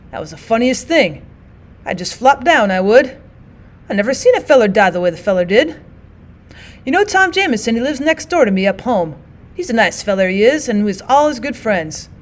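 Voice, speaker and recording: A woman voicing a wounded, rambling male soldier who talks in a folksy rural way and slurs his words as he weakens. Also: on the high side.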